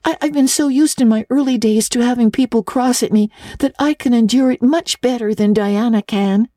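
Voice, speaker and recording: A woman's voice: fairly high pitch, normal speed, warm tone, no room sound, studio quality, pitched above the performer's own voice, close to an everyday voice. A woman voicing an eager, earnest orphan girl in a storybook reading, talkative and polite, with breathless stammers. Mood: earnest pleading.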